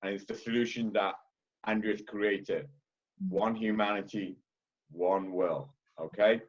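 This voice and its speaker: A man with a Liverpool-tinged British accent speaking at a conference, loud and blunt, repeatedly asking "okay?". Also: on the low side, steady tone, a little slow, lo-fi sound. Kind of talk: heated panel talk.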